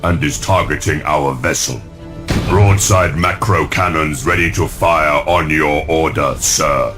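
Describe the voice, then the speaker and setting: An actor playing a gruff, confident starship gunnery officer in a game, reporting in a firm military manner. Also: male voice, a bass voice, measured pace, over music. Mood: alert, firm.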